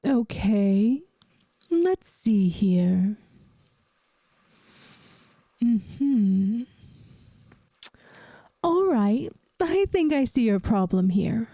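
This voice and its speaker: A woman playing a centuries-old vampire math teacher in an ASMR roleplay, gentle, wry and reassuring, with a soft, soothing, teacherly manner. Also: fairly high pitch, very animated, extremely slow, long pauses, no echo, full character voice. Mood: patient.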